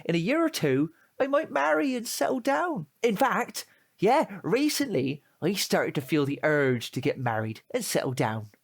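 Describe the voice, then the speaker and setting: A man's voice: sits high, sing-song, normal speed, creaky, full character voice. Man playing an indignant British teenage tearaway, swooping delivery. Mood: wheedling. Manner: indignant, slangy, wheedling. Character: cheeky, aggrieved, foul-mouthed male teenage tearaway. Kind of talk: comic audio drama acting.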